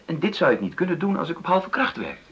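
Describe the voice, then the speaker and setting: An actor in a radio drama playing an earnest, conciliatory middle-aged husband who stammers and searches for words in a creaky voice. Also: male voice, on the high side, very animated, fast talker, a bit bright, lo-fi sound, full character voice. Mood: insistent. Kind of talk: naturalistic radio drama dialogue.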